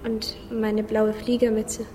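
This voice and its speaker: A woman's voice: a little high, completely flat delivery, takes it slowly, mellow, a little hiss or hum. A woman playing a naive, sad little boy musing simply in a radio play. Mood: pensive. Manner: simple childlike musing, repeating phrases. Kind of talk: radio play inner monologue. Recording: long reverb, music clearly behind.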